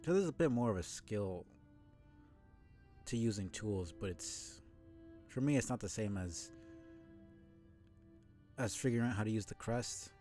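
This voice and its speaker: A man with a low voice giving casual, informal live commentary as a video game streamer, thinking out loud. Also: lively intonation, measured pace, pauses often. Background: game music behind the voice.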